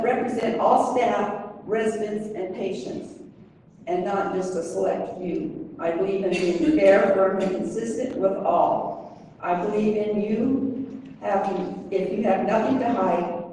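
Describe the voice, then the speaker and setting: A woman's voice: medium pitch, unhurried, warm tone, slight background noise. An older woman and grandmother from Wyoming, giving a chatty, self-deprecating candidate speech she reads from notes. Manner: chatty, self-deprecating, reading a list of jobs. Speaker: board candidate. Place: convention center meeting room. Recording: echoey hall from far off.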